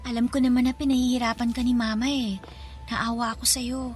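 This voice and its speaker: An actress playing a kind, timid young girl in a Filipino radio drama, speaking in a hushed, childlike, earnest way. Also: fairly high pitch, flat delivery, fairly quick, faint music behind, close to an everyday voice. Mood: hushed, caring.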